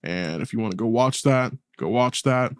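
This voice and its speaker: A man's voice: medium pitch, expressive, moderate pace, smooth, no echo, clean studio sound. A man speaking earnestly and colloquially in a personal video essay, as the creator narrating it.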